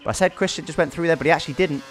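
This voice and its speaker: A man's voice: a little high, racing, faint music behind. A man giving casual, explanatory commentary over his own racing game race, recapping as he goes.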